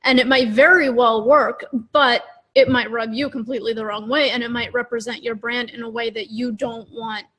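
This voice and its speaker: A woman's voice: a little high, steady tone, moderate pace, a bit bright, rough recording. A woman co-hosting a podcast, giving advice in an informal, chatty style peppered with "like". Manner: informal, frequent "like". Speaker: podcast co-host. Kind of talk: casual conversational advice talk.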